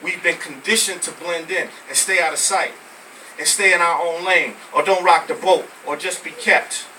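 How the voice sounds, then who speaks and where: Bright-voiced American man performing spoken word, distant mic. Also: on the high side, normal speed. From Brooklyn, New York, United States. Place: live poetry venue with small audience. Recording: noisy, distant amateur recording of live venue.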